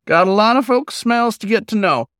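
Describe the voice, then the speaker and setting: A man's voice: high voice, normal speed, fairly bright tone, clear, resonant tone, no echo, clean studio sound, pitched above the performer's own voice. A man voicing a cheerful old male wolf in a story, with a drawling, folksy, chatty manner. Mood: friendly, folksy.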